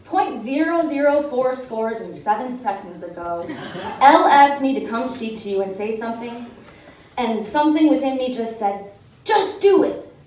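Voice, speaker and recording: A woman's voice: fairly high pitch, moderate pace. A young woman giving a theatrical, comedic live reading of a short story, acting out the dialogue. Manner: theatrical, expressive delivery with acted dialogue. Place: stage in a small event room with audience. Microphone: mic on stand, picked up by distant camera. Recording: roomy, distant, noisy live-event recording.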